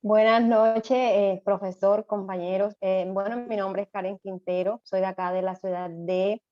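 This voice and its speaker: A woman's voice: medium pitch, flat delivery, unhurried, lo-fi sound. A woman student introducing herself over a video call, earnest and grateful, with some fillers. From Colombia.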